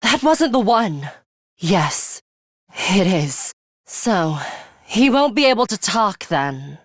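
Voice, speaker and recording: Cold bloodthirsty fighter girl for a game, talks slow n formal, kinda menacing, super dry recording. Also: medium pitch, sing-song, dry recording. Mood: cool, curt. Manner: deliberate, formal and menacing, with theatrical flourishes. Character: cold, bloodthirsty young female fighter, terse and imperious. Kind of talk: English video game voice acting.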